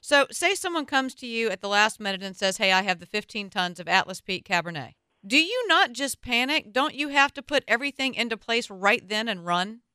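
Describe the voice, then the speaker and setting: A woman's voice: fairly high pitch, big pitch swings, a little fast, bright, forceful tone, clear, resonant tone, dry recording, studio quality. A woman hosting a radio talk show, asking questions in a playful, self-deprecating way. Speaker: radio host. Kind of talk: casual radio interview.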